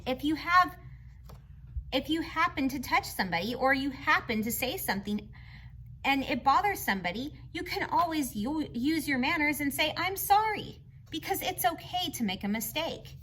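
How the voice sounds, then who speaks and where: A woman's voice: sits high, lively intonation, normal speed, bright, forceful tone, a little hiss or hum. A woman reading aloud to children as a teacher, explaining in simple, instructive phrases and putting questions to her young listeners.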